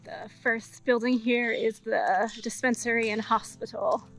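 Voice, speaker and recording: A woman in her thirties talking casually to an action camera on a sailboat, relaxed and laughing easily. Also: on the high side, measured pace, music in the background, low-quality recording. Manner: relaxed, chatty, laughs often. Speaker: sailing vlogger. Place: aboard a sailboat cabin.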